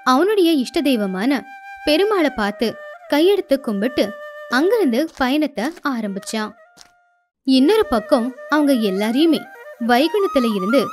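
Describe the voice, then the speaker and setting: Lady narrating a kids story, high voice, steady, flute music underneath. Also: normal speed, pauses often.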